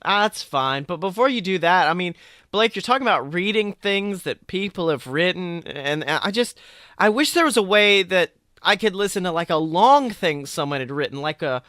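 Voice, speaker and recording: Guy podcast host, kinda high bright voice, jokey casual banter, real dry sound. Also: lively intonation, moderate pace, no echo. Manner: playful, joking, informal.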